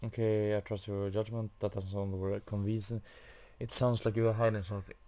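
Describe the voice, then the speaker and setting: A man's voice: on the low side, flat delivery, a little fast, dark tone. A man giving casual commentary as he plays through a game, hesitantly thinking aloud. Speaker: gamer narrating a quest playthrough.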